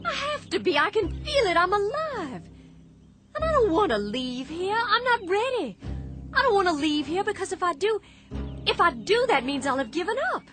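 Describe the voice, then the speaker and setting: An actress playing a young farm wife in a radio drama, talking emotionally and pleadingly with halting restarts. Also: female voice, very high-pitched, very animated, fairly bright tone, soft background music, full character voice.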